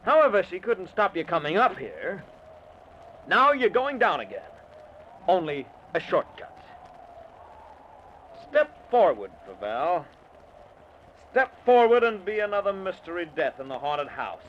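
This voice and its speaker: A man's voice: high voice, big pitch swings, a little slow, long pauses, a bit bright, exaggerated voice. A man playing a gloating, taunting murderer in an old-time radio thriller, with theatrical delivery. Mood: gloating menace. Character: gloating male murderer posing as a ghost. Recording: dull, noisy vintage radio recording.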